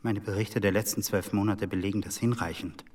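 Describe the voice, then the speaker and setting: An actor playing a stolid, deferential secret agent in a radio drama, answering formally and defensively. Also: male voice, on the low side, fairly even intonation, brisk, darkish tone, recorded from a distance, lightly acted. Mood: guarded, defensive. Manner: formal, guarded. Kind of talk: German radio drama acting.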